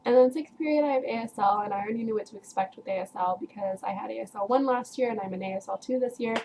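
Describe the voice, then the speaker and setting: A woman's voice: a little high, quick pace, mellow, slight background noise, rough recording. A teenage girl casually telling a long story to her viewers, rambling with lots of filler words and breaking into laughs. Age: teens. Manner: chatty, rambling, heavy use of "like" and "um"; frequent laughs while telling. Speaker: vlogger.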